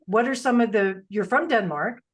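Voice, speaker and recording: A middle-aged woman chats as a podcast host. Her talk is casual and playful, with an irreverent streak and a fondness for anecdotes. Her voice sits at an average pitch for a woman. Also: moderate pace.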